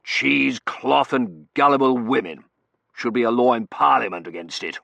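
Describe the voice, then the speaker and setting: Blustering, clipped old Victorian colonel. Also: male voice, mid-range voice, expressive, normal speed, creaky, a bit bright, no room sound, pitched above the performer's own voice. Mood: indignant, scornful. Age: older. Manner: clipped shorthand speech, dropping sentence subjects. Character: blustery older colonel, gruff Victorian husband and father. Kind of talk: audiobook character voice.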